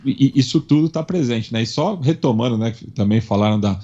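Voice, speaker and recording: A man from São Paulo with a Paulistano accent, talking in a halting way full of fillers as a podcast co-host in a casual panel chat. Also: mid-range voice, lively intonation, dark tone, muffled recording. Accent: Paulistano Brazilian Portuguese. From São Paulo, Brazil. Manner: halting, many fillers and restarts.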